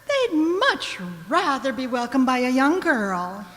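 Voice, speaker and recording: A woman's voice: high voice, sing-song, slow, a bit bright, heavily acted. An actress playing a precocious, cheeky young carrot girl in a comic radio play, breezy and boastful. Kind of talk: comic radio drama acting. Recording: echoey hall with long reverb.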